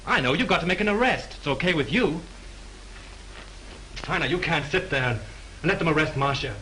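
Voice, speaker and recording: Brash young sleuth, bright and lively, sarcastic, old hissy 1930s film sound. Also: male voice, a little high, poor audio. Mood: wry. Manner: brash, quick-witted, sarcastic. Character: cocky young man playing amateur detective.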